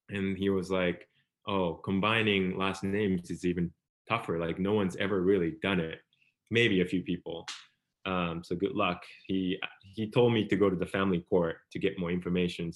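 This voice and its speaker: A Japanese man in his thirties, a native Japanese speaker, telling his story as a guest with many fillers and restarts. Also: low-pitched. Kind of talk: conversational storytelling.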